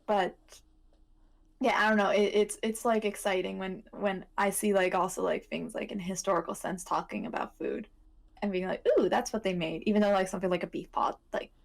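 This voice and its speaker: A woman chats casually as a podcast co-host at a middling pitch. She wanders through her thoughts, breaking off and picking them up again, with plenty of "like" along the way. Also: fairly even intonation, brisk, creaky. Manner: rambling, self-interrupting with frequent "like". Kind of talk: casual chat.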